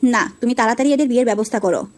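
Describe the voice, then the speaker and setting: A woman's voice: a little high, racing, darkish tone, dry recording, studio quality, natural voice. A woman playing a decisive, chatty young sister-in-law in an audio story. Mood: brisk.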